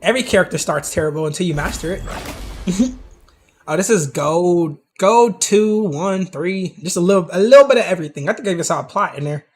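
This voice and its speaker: A man with a fairly high, husky voice gone hoarse and worn out talks through game replays on a live stream. He chats loosely with his viewers, restarting sentences and wandering into asides as he goes. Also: expressive, brisk. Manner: chatty, talks to chat directly, many asides and restarts. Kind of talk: casual live-stream commentary.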